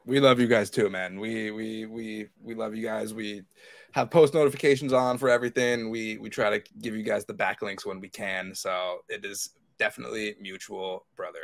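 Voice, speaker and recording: A man's voice: on the low side, steady tone, normal speed, no echo. A man chatting casually as a panelist on a podcast. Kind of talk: casual chat.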